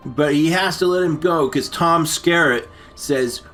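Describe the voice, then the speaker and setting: Male comic storyteller: bright, forceful tone, rambling and stammering delivery, constantly drifting into asides. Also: a little high, measured pace, soft background music. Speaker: story-time show host. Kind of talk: comic, improvised movie recap storytelling.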